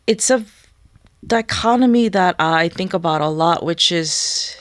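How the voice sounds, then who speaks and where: A young woman whose first language is Korean, speaking thoughtfully in a studio interview and often pausing to search for words. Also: on the low side, no echo. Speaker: guest musician. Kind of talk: reflective interview talk.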